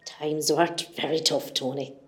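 A woman's voice: sits low, little pitch movement, unhurried. A woman playing an elderly, wistful Irish mother from Cork in an amateur hospital radio play. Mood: fond, teasing. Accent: Irish, County Cork. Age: older.

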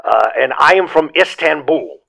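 An actor playing a bumbling, self-assured male caller who rambles through sales pitches in an improvised comedy podcast. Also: animated, moderate pace, creaky, fairly bright tone, exaggerated voice. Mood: blustering.

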